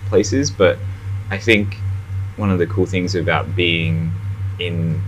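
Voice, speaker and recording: A man in his thirties, born in India and raised in Australia, talking as a guest in a casual chat at a restaurant, animated and full of fillers. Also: sits low, slow pace, slight background noise, poor audio. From born in India, grew up in Australia. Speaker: guest artist. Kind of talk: casual conversation. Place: restaurant, indoors.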